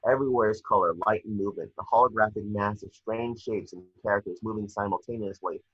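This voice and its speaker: A man reading screenplay action lines aloud in a somewhat halting way, as narrator of an amateur table read. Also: lowish voice, steady tone, fairly bright tone, slightly rough, muffled recording. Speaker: reader of action descriptions.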